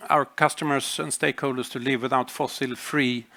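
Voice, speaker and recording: A man speaking as a company executive on a stage panel, earnest and halting, with frequent restarts. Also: mid-range voice, steady tone, vocal fry, sharp tone.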